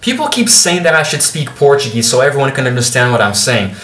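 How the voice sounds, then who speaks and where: A man's voice: mid-range voice, sing-song, fast, fairly bright tone, soft background music. A young man from Brazil with an American accent, ranting to camera in an unscripted vlog, blunt and exasperated, swearing and tripping over his words. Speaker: YouTuber. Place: room indoors, talking to camera. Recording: roomy echo, a bit far from the mic.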